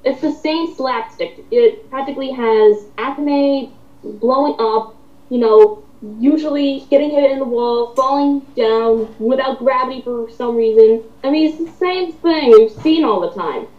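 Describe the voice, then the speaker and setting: Female discussion participant. Apologetic, informal delivery, somewhat high pitch, roomy low-fidelity line. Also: unhurried. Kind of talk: casual chat. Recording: tinny, lo-fi echoey voice chat.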